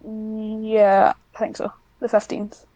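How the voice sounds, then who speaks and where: Mellow woman, casual and dry-sounding recording. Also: mid-range voice, monotone, slow pace, dry recording, rough recording. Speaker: podcast guest, amateur script writer. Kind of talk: casual interview chat.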